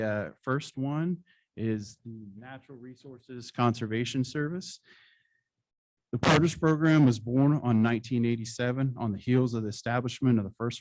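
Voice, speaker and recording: Male awards presenter at a podium, measured formal delivery, average pitch, dry close sound. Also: darkish tone, dry recording, poor audio. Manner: formal, measured reading with occasional slips. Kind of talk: reading award citations at a conference. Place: conference hall stage.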